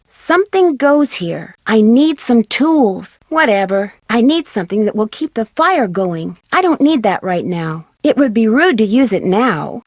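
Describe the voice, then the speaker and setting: A young amateur detective heroine from an adventure game. Her voice is on the high side and very animated, with a self-assured, inquisitive, conversational delivery. The sound is dry and studio-close. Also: dry recording. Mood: matter-of-fact musing. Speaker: game protagonist and tutorial guide. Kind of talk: scripted adventure game dialogue and narration.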